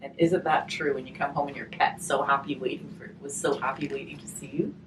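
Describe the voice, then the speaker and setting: A woman reading a picture book aloud slowly and expressively, pausing to chat and put questions to her listeners. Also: extremely low pitch. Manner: slow, expressive reading with chatty questions to the audience. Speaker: hospice volunteer reading a picture book to children. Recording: distant mic, faint background noise.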